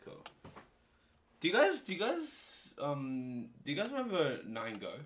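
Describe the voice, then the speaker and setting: A playful young man, talking over a game session with a bright, lively voice that swings up and down. He jokes around with friends while keyboard clicks tick faintly in the background. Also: fairly high pitch, measured pace, a little hiss or hum. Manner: playful, jokey, laughs often. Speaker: gamer. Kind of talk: casual gaming chat.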